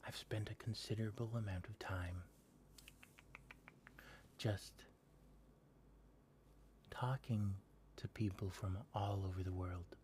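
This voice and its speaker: A man whispering softly as he chats with viewers on a late-night ASMR stream. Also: on the low side, steady tone, slight background noise. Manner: soft whisper, slow and drawn out. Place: home at night.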